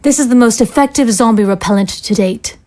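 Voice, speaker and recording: A woman's voice: on the high side, mellow, close to an everyday voice. A woman acting in an audio drama as a cold, ruthless scientist in authority, speaking in formal, sardonic phrases. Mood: triumphant. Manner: formal, sardonic, measured wording.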